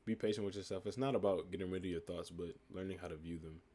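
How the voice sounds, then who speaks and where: A young man from the United States with a calm, laid-back voice, reading live chat questions aloud and answering them in a relaxed, reflective way. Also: low voice, fast talker. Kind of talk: casual live stream chat.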